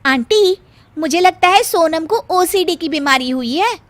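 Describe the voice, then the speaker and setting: A woman voicing an outspoken young woman visitor in an acted moral story, emphatic and quick to argue. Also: very high voice, expressive, voice pushed up. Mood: concerned, insistent.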